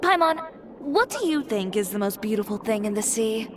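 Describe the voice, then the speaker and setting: A woman's voice: on the high side, big pitch swings, moderate pace, background noise. A woman playing a proud, determined young fashion designer in a video game, self-assured and matter-of-fact with a dry edge. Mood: inspired, decisive. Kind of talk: video game character dialogue.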